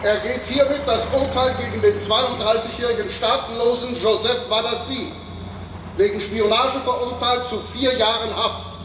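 Very high, far-off proclaiming judge. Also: male voice, fairly even intonation, moderate pace. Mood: stern. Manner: loud, formal proclamation. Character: stern male judge proclaiming a sentence. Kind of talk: German radio drama acting. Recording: echoey, far from mic, noisy.

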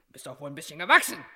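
Loud bossy sea captain wife, sharp bright voice, salty and theatrical, old radio play. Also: female voice, deep voice, exaggerated voice. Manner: hearty nautical bluster, bossy and theatrical. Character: boisterous, bloodthirsty, sentimental female sea captain, a sailor's wife. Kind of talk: vintage German comic crime radio play acting.

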